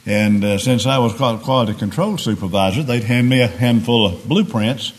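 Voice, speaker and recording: Hoarse older preacher guy, raspy today, plain talk, lots of restarts. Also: medium pitch, normal speed. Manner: plain-spoken, homespun examples, frequent restarts. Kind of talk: sermon.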